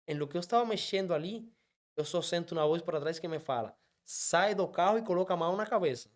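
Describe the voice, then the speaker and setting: A man with a Cuban Spanish accent tells his story at a quick clip, slipping Spanish words in as he goes. His voice sits slightly high for a man and moves fast and animated. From Cuba. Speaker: guest, app driver. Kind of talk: casual storytelling interview.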